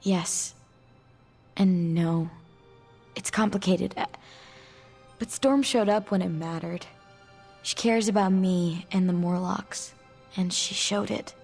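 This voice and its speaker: Game role: young female mutant outcast, candid and guarded, creaky yet clean-edged without being polished. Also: on the low side, takes it slowly, pauses often, a little mellow, faint music behind, full character voice. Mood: reflective. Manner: casual, candid, hesitant. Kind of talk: video game dialogue acting.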